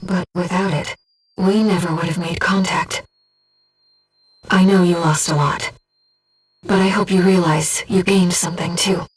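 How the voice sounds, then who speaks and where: Breathy, calm sci-fi guide. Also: female voice, lowish voice, distant mic. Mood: tender, earnest. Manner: measured, precise, earnest. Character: calm, devoted disembodied female voice in a pilot's head. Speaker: mission support guide to a mech pilot. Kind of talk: video game voice acting, tactical briefings.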